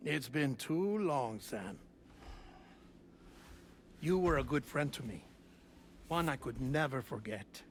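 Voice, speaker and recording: An actor playing a warm, nostalgic scientist in a video game cutscene, speaking in a deliberate, formal, explanatory way on a recorded message. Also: male voice, medium pitch, expressive, slight background noise. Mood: fond, wistful.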